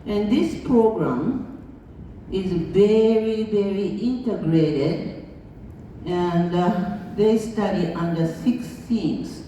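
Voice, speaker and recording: A Japanese woman, speaking English as a second language, gives a slow, chatty conference lecture and laughs along as she talks. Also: medium pitch, warm tone, a little hiss or hum. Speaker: international school board chair giving a lecture. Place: large conference hall. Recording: echoey hall, voice heard from far off.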